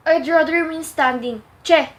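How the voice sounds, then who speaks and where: Indignant society mother acted by a woman, extremely high voice, curt and scornful, amateur radio play. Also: moderate pace, slight background noise, natural voice. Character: haughty, indignant mother of a grown son.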